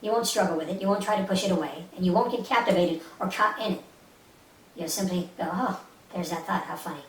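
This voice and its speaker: A woman's voice: on the low side, fast. A woman leading a guided meditation as an instructor, speaking in a soothing, instructive way with short repeated phrases. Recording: roomy, distant mic with faint hiss.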